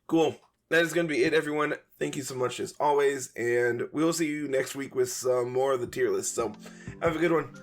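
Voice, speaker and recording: Gravelly-voiced man signing off a podcast. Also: mid-range voice, brisk, faint music behind. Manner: chatty, relaxed, many fillers. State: voice going hoarse. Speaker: podcast co-host. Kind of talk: casual podcast chat and sign-off.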